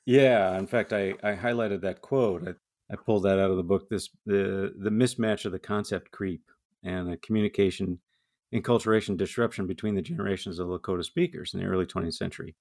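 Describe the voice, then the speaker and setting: Male podcast host, very low voice, conversational interviewer. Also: steady tone, a little fast, a little mellow. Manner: conversational, asks questions.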